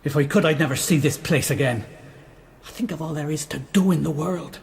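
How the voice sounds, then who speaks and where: A man's voice: fairly high pitch, brisk, long reverb tail. An actor playing a restless, scornful young landowner's son who grumbles about his family's rotting estate. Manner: gruff, grumbling, gravelly.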